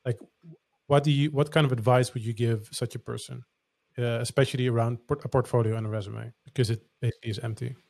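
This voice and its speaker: A man's voice: medium pitch, fairly even intonation, quick pace, creaky, darkish tone, no room sound. A Dutch man, a native Dutch speaker, hosting a casual interview and asking questions thoughtfully. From Netherlands. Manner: thoughtful, searching for words. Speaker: podcast host.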